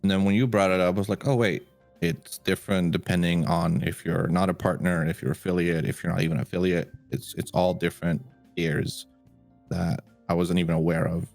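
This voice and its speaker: A man co-hosting a podcast in casual chat, measured and agreeable, laying out his points step by step. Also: sits low, steady tone, vocal fry, darkish tone, faint music behind. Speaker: podcast co-host.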